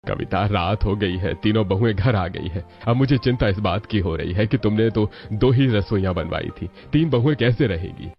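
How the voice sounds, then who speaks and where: A man's voice: fairly low pitch, animated, moderate pace, few pauses. A man playing a middle-aged father of three grown sons in a cartoon family story. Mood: worried. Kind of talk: Hindi cartoon story voice acting. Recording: dull lo-fi audio over music.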